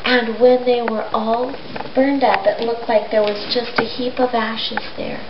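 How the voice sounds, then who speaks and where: A woman with a high voice retells a story for children in simple, explanatory language. The recording is dull, with clear background noise. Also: level tone, measured pace. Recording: noisy, echoey, dull amateur recording.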